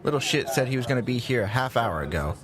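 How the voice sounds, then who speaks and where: A man's voice: medium pitch, big pitch swings, fast talker, close to an everyday voice. An actor playing a brash, sarcastic young man who teases his friends in an audio drama. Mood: mocking. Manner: mocking, swearing, teasing. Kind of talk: casual audio drama dialogue. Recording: busy crowd behind dialogue.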